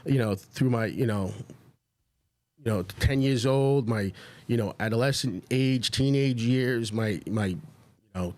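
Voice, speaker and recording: A man's voice: medium pitch, measured pace, a little mellow. A man speaking as a podcast guest, casually reminiscing in an informal way and repeating phrases for emphasis.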